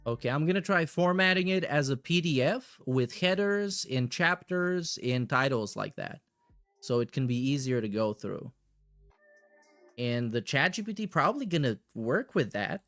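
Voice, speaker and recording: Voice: mid-range voice, lively intonation, normal speed, a bit bright, faint music behind. A livestream host chatting casually and reacting in a blunt, heavily profane way.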